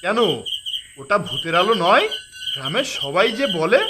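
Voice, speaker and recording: A man playing an anxious, excitable village man who frightens easily, in a ghost cartoon. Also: sits high, moderate pace, noisy background. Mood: uneasy.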